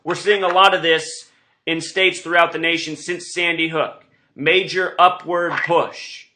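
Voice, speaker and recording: A man's voice: fairly high pitch, steady tone, unhurried, bright, forceful tone. A man hosting an online show, speaking in a rambling, insistent monologue with emphatic delivery. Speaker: online video host.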